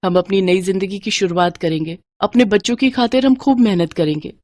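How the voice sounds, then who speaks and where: A woman's voice: medium pitch, brisk, a little mellow, dry recording, close to an everyday voice. A woman voicing a caring, resolute poor mother in a moral story, earnest and soothing.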